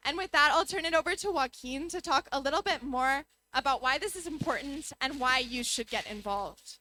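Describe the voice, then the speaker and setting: Bright high-voiced young American woman. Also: a little fast, slight background noise. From United States. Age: young adult. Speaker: youth climate speaker. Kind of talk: prepared conference talk. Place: conference pavilion.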